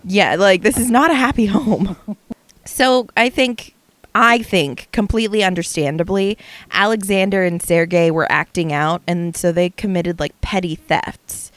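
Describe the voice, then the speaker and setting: Woman, podcast co-host, smooth clear voice, dry close sound, chatty storytelling. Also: medium pitch, unhurried, fairly bright tone, no room sound. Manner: chatty storyteller, recounting a case with asides. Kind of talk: casual true-crime podcast chat.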